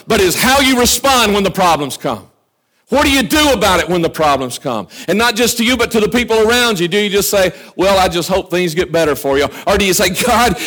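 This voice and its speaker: A man preaching a sermon with fervor, often dropping to quiet asides. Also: high-pitched, poor audio. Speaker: pastor. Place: church.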